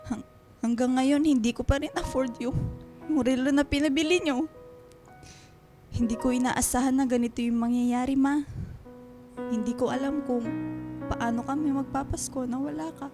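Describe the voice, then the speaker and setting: A woman acting a high-voiced, earnest grieving elder sister. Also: steady tone, moderate pace, long pauses, music in the background, close to an everyday voice. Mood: sorrowful, apologetic. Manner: pleading, earnest. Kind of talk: radio drama acting.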